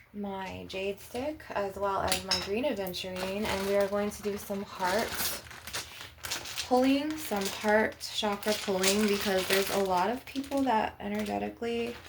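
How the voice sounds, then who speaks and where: A woman's voice: medium pitch, creaky, noisy background. A woman leading an ASMR energy-healing session as a spiritual healer, guiding the listener in an instructive way. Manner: instructive, guiding breathing and visualisation.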